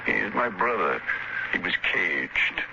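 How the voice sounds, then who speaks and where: An actor playing a solemn old zealot with slow, biblical phrasing in 1950s radio drama style. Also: male voice, sits low, very animated, creaky, exaggerated voice. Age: older. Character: wild-bearded old male zealot, solemn and prophetic. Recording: muffled, very noisy old radio recording.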